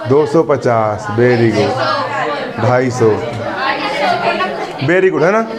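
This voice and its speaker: A man's voice: mid-range voice, animated, slow pace, a loud crowd. A man in his early twenties teaching a maths class. He keeps repeating questions to the students and teases and scolds them. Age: 20s. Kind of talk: classroom lesson. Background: students in the room.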